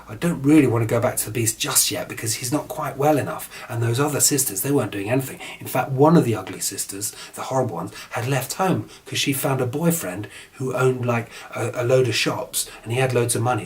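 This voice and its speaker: A man tells a children's story in an informal, improvised way. He chats along casually and drifts into offhand asides as he goes. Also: medium pitch. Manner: chatty, digressive, mock-casual asides.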